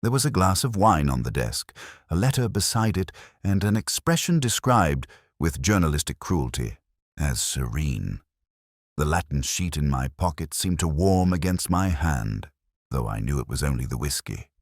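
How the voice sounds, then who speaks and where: A man plays a weary older detective looking back on a case in a mystery audio drama. The voice is extremely deep, rising and falling expressively in measured, literary phrases. It sounds brooding and wry, recorded dry and close. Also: big pitch swings, no echo, full character voice. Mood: sombre, reflective. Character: weary, melancholy older male English detective narrating his case. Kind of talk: literary first-person mystery narration.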